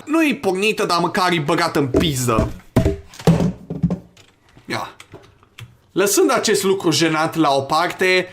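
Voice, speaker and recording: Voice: medium pitch, sing-song, pauses often. A gamer doing casual, crude Let's Play commentary and reading game dialogue aloud. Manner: crude, swearing, joking. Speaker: gaming YouTuber. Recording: game music behind the voice. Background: video game music.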